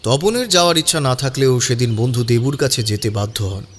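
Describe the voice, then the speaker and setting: A man's voice: medium pitch, fairly quick, slight background noise. A man narrating a scripted audio story.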